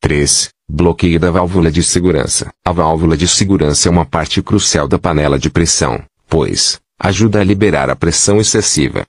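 A man giving kitchen safety tips in a steady, instructive scripted voice-over. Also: low voice, normal speed, hardly any pauses, no echo, studio quality.

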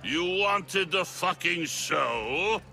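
Gravelly, snarling crime boss. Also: male voice, sits high, expressive, extremely slow, sharp tone, soft background music, some chatter behind, full character voice. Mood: menacing rage. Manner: snarling, threatening. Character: brutal, foul-mouthed crime boss, menacing bully. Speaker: villain in an action game. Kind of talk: dramatic video game acting.

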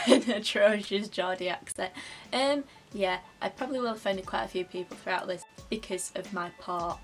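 A young woman in her twenties with a Yorkshire accent, chatting to camera in a playful, self-mocking way from her bedroom. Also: medium pitch. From Yorkshire, England. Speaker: vlogger. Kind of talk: casual comic chat to camera. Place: bedroom, indoors.

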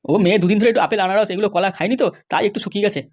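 A man voicing a touchy, scheming middle-aged village politician and father in a comic cartoon, blustering and defensive through an altered, pitched-up voice. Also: fairly even intonation, racing, a little mellow. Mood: ingratiating.

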